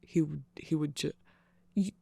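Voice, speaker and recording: A mid-pitched voice with a noticeable creak, recorded close and dry. The speaker rambles informally through an ASMR-style explainer, offering opinions along the way. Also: fairly even intonation, a little slow, mellow, no echo. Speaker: content creator.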